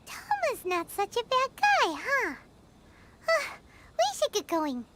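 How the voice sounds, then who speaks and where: A woman voicing a small childlike female fairy companion in an anime video game, squeaky, chirpy and easily indignant, with exclamations. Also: wildly swooping pitch, slow, very noisy, full character voice. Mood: curious. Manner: speaks of herself in third person, exclaiming. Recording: loud game music behind.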